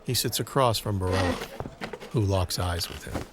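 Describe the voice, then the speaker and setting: A man narrating a scripted audio drama in a deliberate, dramatic manner. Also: sits low, background noise. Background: dramatic music.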